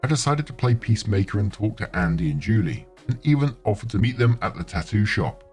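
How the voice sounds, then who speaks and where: Male narrator, very low voice, reads online stories, soft music bed. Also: fairly quick. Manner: reads commenters' posts aloud in an even, conversational storytelling tone. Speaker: narrator reading forum posts. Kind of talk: scripted narration. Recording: faint music underneath.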